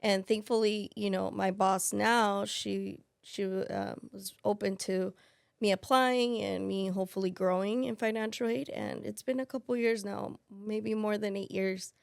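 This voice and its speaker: A woman in her thirties whose first language is Spanish speaks in a gravelly, creaky voice. She talks thoughtfully in a casual interview, with many fillers and restarts, recorded dry and close. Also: medium pitch, fairly even intonation, unhurried, dry recording. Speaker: financial aid specialist.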